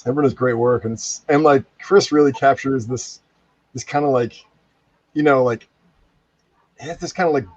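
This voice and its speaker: A man's voice: mid-range voice, normal speed, long pauses, dry recording, highs cut off. A man guest on a podcast chatting casually and enthusiastically, laughing often as he praises his castmates. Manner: enthusiastic, laughing, repeats "freaking". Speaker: voice actor guest.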